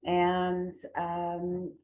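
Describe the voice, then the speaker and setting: A middle-aged American woman giving a formal academic conference presentation, phrasing carefully with pauses. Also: on the low side, completely flat delivery, a little hiss or hum. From United States. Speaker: conference presenter, traditional medicine researcher. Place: indoor room, remote online presentation. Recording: roomy, tinny phone-like online call audio.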